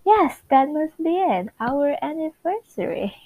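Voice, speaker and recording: A woman's voice: high voice, sing-song, measured pace, dry recording, phone-quality sound, voice pushed up, exaggerated voice. A woman playing a polite, evasive young sitcom newlywed wife with a prim, earnest way of talking. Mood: unsure.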